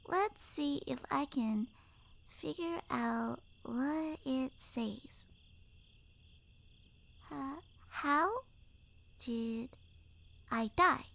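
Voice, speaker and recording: A woman playing a sweet, obsessive necromancer girlfriend in an intimate ASMR roleplay, cooing softly and often half-whispering. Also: high-pitched, drawn-out words, gravelly, long pauses, full character voice. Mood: playful, coaxing. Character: doting, obsessive yandere girlfriend turned necromancer, sweet and unhinged.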